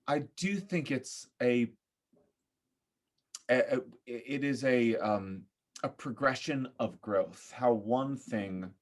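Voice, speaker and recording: A man speaking as a podcast guest in casual, reflective conversation, thoughtful and often groping for his words. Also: mid-range voice.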